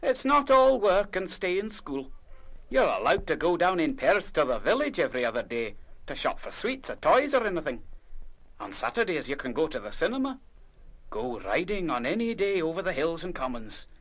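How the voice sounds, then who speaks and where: An actor playing a kindly Scottish music master in a radio play, with a gentle, coaxing and encouraging manner. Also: male voice, a little high. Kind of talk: full-cast radio play acting.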